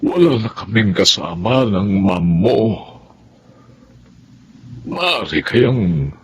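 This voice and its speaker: An actor playing a remorseful older Filipino employer in a Tagalog radio drama, speaking in a halting, solemn way. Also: male voice, lowish voice, very animated, husky, full character voice. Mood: remorseful, pleading. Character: remorseful older well-off male employer, humbled and lonely.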